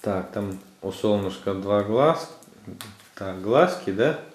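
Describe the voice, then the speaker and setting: A man's voice: fairly low pitch, lively intonation, slow pace. A man chatting casually as he guides young children, encouraging and instructive, often checking with short questions. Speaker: father filming children's craft activity. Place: small furnished room at home. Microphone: camera mic, speaker off screen. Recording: roomy indoor echo.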